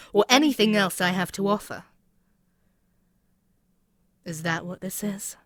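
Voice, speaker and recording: Woman as an imperious, low-voiced demon queen. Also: no echo, close to an everyday voice. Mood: exasperated, taunting. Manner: haughty, taunting, theatrical, dropping at times to near-whisper. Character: imperious demon queen, female, scheming, exasperated, menacing. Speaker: audio roleplay voice actor. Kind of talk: scripted ASMR audio roleplay, dramatic monologue.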